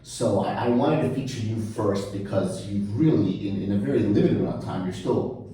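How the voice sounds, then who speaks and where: A man's voice: fairly low pitch, moderate pace, warm tone, very echoey, far from the mic, low-quality recording. A man with a New York accent hosting a casual interview podcast in an office, friendly and chatty with easy laughs. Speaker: podcast host, chamber president.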